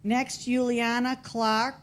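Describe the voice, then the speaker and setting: Slow-paced Boston woman reading out names. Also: fairly high pitch, flat delivery. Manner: deliberate, announcing each name separately. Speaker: school staff member presenting student awards. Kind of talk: reading out names at a formal meeting. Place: school board meeting room.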